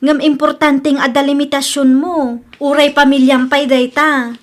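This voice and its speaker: A woman acts as a sensible female cousin in a radio drama. Her voice is high, and she sounds concerned and firm. She has an Ilocano Filipino accent. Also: little pitch movement, moderate pace, close to an everyday voice. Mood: concerned, advising.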